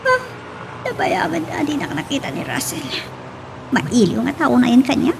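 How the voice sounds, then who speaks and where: A lovesick, anxious daughter in a radio drama, played by a woman. Her voice is extremely high and swings freely, with creaky edges. There is clear noise behind her. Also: wildly swooping pitch, moderate pace, exaggerated voice. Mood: longing.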